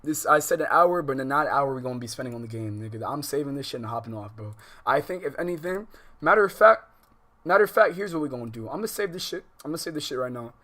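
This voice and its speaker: A man's voice: mid-range voice, expressive, fast, bright, forceful tone. An American man hosting a game livestream, switching between slangy, profane, exasperated chat and reading visual novel text aloud. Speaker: livestream host. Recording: music behind the voice.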